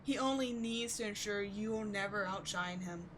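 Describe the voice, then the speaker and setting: A woman's voice: mid-range voice, moderate pace, a bit bright, slight background noise, close to an everyday voice, poor audio. A woman playing a bitter, passionate villain with secret devotion, speaking in a theatrical, intense, emphatic way in a dramatic audio roleplay. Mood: accusing, scornful. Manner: theatrical, intense, emphatic, with sighs and dropped whispers. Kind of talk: dramatic audio roleplay monologue addressed to the listener.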